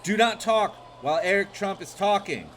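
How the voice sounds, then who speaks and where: A man's voice: high voice, lively intonation, moderate pace, fairly bright tone. Profane, mocking male streamer. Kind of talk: crude live reaction commentary.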